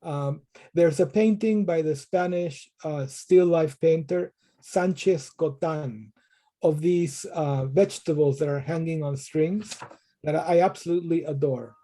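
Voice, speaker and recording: A man's voice: on the high side, unhurried, mellow. A middle-aged man from Peru, a professor answering interview questions thoughtfully, often repeating words as he restarts. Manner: thoughtful, frequent word repetitions and restarts.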